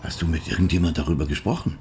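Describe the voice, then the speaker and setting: A radio-play investigator with a low-pitched voice. He is patient and matter-of-fact, probing with short questions and prompts. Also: steady tone, rapid-fire, a little mellow, slight background noise.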